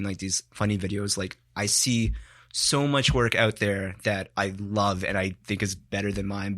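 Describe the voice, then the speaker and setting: A man's voice: fairly low pitch, fairly bright tone. A man guesting on a studio podcast, talking in a loose, reflective way and filling his sentences with "like". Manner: rambling, heavy use of "like", reflective. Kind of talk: casual studio chat.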